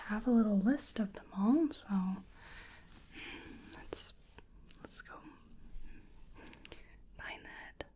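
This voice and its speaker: A woman playing a hesitant, curious creature researcher in a soft-spoken, whispered ASMR exam roleplay, musing gently and apologetically. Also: medium pitch, husky. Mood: hesitant, apologetic.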